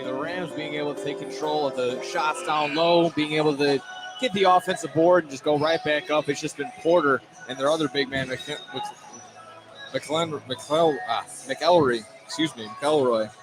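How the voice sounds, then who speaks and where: A man doing color commentary, analyzing the game off the cuff in a halting, self-correcting way. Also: mid-range voice, low-quality recording.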